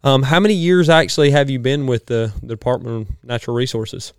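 Male podcast host, casual and halting, clean resonant tone, mid pitch. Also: fairly even intonation, clean tone, no room sound, clean studio sound. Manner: folksy, halting with restarts. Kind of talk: casual interview chat. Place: small studio.

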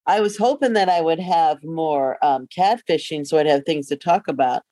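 A woman's voice: low-pitched, moderate pace, dark tone, no room sound. A woman podcast co-host chatting casually in a joking, teasing manner. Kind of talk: casual chat about online dating.

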